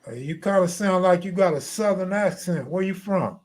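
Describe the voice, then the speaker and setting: A man's voice: high-pitched, normal speed. An American man hosting a live stream, chatting casually in slangy, profane, streetwise talk.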